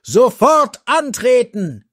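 A man's voice: very high-pitched, big pitch swings, no room sound, studio quality, pitched above the performer's own voice, exaggerated voice. A man voicing a furious male military commander, thundering out orders in a fantasy story. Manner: thundering, shouting, drawn-out orders.

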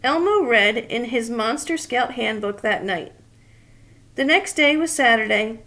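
A woman's voice: fairly high pitch, lively intonation, unhurried, pushed and bright. A woman in her thirties reading a children's story aloud expressively and asking the young listeners questions. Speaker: children's read-aloud reader. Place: small indoor room.

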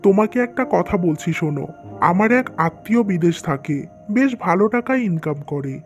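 A woman's voice: lowish voice, animated, normal speed, faint music behind, lightly acted. A woman voicing a reassuring older father-in-law in a scripted cartoon, in a persuasive, advising way.

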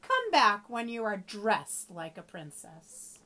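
A woman voicing a snobbish young prince, scolding with slow, disdainful emphasis. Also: mid-range voice, huge pitch swings, pushed and bright, exaggerated voice. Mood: disgusted.

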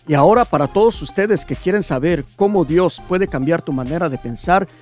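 A man's voice: fairly high pitch, fairly quick, darkish tone, soft background music. A man hosting a religious program in a studio, speaking in an earnest, inviting way into a stand mic. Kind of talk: preaching invitation.

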